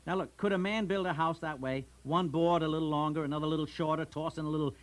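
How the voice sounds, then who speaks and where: An actor playing a pedantic, condescendingly helpful husband who lectures insistently in a 1960s radio domestic comedy. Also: male voice, on the high side, fairly even intonation, fast talker, natural voice. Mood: fussy, insistent. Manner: lecturing, insistent, talks over his wife. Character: pedantic middle-class husband, fussy about arithmetic, condescendingly helpful.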